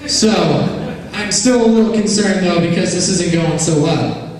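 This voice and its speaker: A man's voice: high voice, measured pace, a crowd in the background. A young man from Chicago doing a rambling, self-mocking stand-up set on a comedy club stage. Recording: echoey club room, distant mic.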